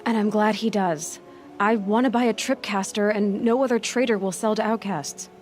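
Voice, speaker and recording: An actress as wry, headstrong young huntress. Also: female voice, medium pitch, fairly even intonation, moderate pace, faint music behind, natural voice. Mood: determined. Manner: direct, questioning, dry sarcasm. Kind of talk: video game cutscene acting.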